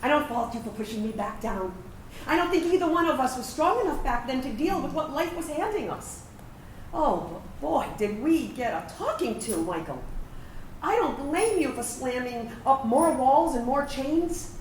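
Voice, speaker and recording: Woman playing a wistful veteran, high and animated. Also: moderate pace. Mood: wistful reminiscence. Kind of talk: staged reading of a personal narrative play. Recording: roomy, distant microphone, faint background noise.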